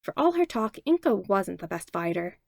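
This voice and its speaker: A woman narrating a fanfiction audiobook in an expressive read-aloud manner on a close mic. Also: mid-range voice, moderate pace, gravelly, no room sound. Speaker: fanfiction podfic reader. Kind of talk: storybook narration.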